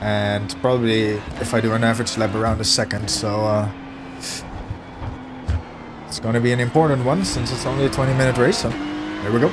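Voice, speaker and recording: A hoarse man who is losing his voice narrates his own sim racing footage in an analytic, self-deprecating way. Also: mid-range voice, steady tone, brisk, long pauses, noisy background. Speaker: sim racing video host. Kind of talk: commentary over gameplay footage. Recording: voice-over with music underneath. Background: background music.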